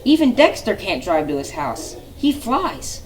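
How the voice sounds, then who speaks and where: A woman voicing a helpful, thoughtful female spider in a storybook reading, in a high animated voice. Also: a little slow, distant mic. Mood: lively.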